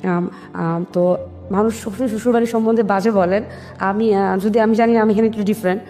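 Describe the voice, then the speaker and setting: A woman's voice: mid-range voice, fairly quick. A woman with a Bangladeshi accent telling her personal story in an interview, acting out conversations and mixing in some English words. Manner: animated retelling with quoted family dialogue, English words mixed in. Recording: long hall reverb, music underneath.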